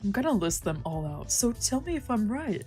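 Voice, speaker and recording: A woman's voice: medium pitch, sing-song, fairly quick, warm tone, soft background music, close to an everyday voice. A woman voicing a chatty, teasing young man from a visual novel, with a bubbly, familiar, slightly grumbly manner. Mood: friendly, teasing. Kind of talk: acted visual novel dialogue.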